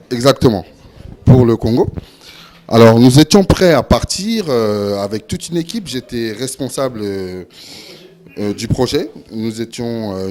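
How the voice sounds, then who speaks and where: A young man from France speaking on a discussion panel, halting and full of hesitations and repeated words. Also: medium pitch, mellow. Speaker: panel speaker, event organizer.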